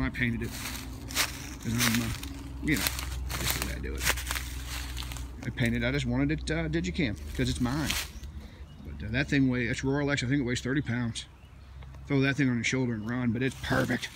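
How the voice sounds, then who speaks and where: A man's voice: mid-range voice, fairly even intonation, a little fast, long pauses, noisy background. A middle-aged man from Missouri, rambling proudly and folksily while walking around outdoors with his phone camera. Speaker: vlogger showing his canoe rack. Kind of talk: casual off-the-cuff walkaround. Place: outdoors in rural woods. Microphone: phone camera held while walking.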